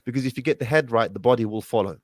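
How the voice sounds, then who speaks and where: A man's voice: mid-range voice, very fast, no echo, clean studio sound. A man hosting a call-in show, giving casual religious advice in an informal, emphatic way peppered with Arabic phrases.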